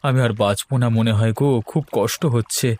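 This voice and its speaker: Man playing a weary cartoon father, mid-pitched. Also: a little fast, a little mellow, close to an everyday voice. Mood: despairing. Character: weary, ailing, anxious husband and father. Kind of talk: Bengali cartoon voice acting.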